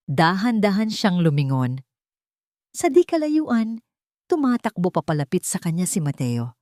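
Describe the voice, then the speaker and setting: A woman's voice: mid-range voice, warm tone, no room sound, studio quality. A woman narrating a dramatic love story in an emotive, melodramatic way.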